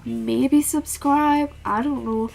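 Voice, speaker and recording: A teenage girl talking casually about books in a vlog, with a calm, flat-toned and slightly awkward way of speaking. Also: high-pitched, takes it slowly, vocal fry, low-quality recording. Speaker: book vlogger. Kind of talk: casual book tag vlog.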